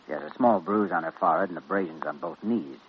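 Low-voiced earnest doctor played by an actor. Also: male voice, fast, a little hiss or hum, lightly acted. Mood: clinical, earnest. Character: earnest, solemn young male hospital doctor. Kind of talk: 1940s radio acting. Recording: muffled old radio recording.

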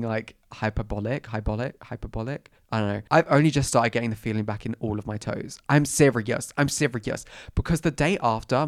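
Croaky young man with a cold. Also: medium pitch, dry recording, studio quality. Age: 20s. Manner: animated, dramatic, self-mocking. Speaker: podcast host. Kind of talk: casual chatty storytelling.

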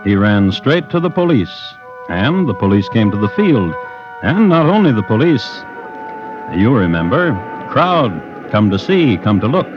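A man narrating an old-time radio crime drama with deliberate, theatrical storytelling. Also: medium pitch, sing-song, music in the background. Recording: muffled vintage radio broadcast.

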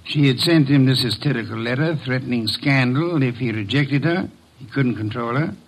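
A man's voice: medium pitch, moderate pace, natural voice, rough recording. A man playing a pompous, cultured, imperious detective in an old-time radio drama, with precise and ornate phrasing. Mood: stern, accusing.

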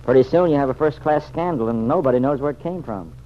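A man's voice: medium pitch, fast talker, natural voice. Man playing a folksy regular guy, noisy old radio. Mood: doubtful. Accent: American. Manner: folksy, slangy, hesitant at times. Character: plain-spoken, blunt, good-hearted regular guy. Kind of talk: old-time radio serial acting. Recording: dull, noisy vintage radio recording.

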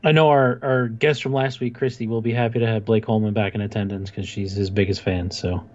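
A man's voice: fairly low pitch, fairly even intonation, a little fast, darkish tone. Man co-hosting, slightly dull audio. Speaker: sports podcast co-host. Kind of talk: casual chat.

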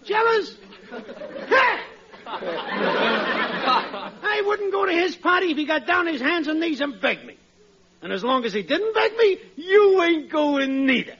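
An actor playing a jealous, wisecracking pal in a 1940s radio sitcom. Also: male voice, very high-pitched, big pitch swings, a bit bright, some chatter behind, full character voice. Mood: scornful, needling. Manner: scornful, sarcastic, drawn-out. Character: jealous, scornful working-class pal, a wisecracking riveter. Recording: muffled old radio, studio audience behind.

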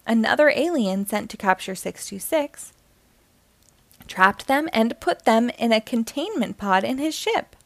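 A woman's voice: fairly high pitch, no echo. A woman reading a children's story aloud as scripted narration.

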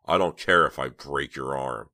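A man's voice: sharp tone, dry recording, studio quality, heavily acted. A man voicing a cold menacing killer. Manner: low, slow, menacing. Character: menacing big male killer, cold and threatening.

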